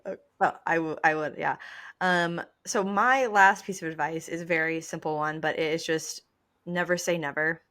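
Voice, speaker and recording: Lower-pitched woman podcaster, casual and candid. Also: fairly even intonation, normal speed, pushed and bright. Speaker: podcast co-host. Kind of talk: casual chat.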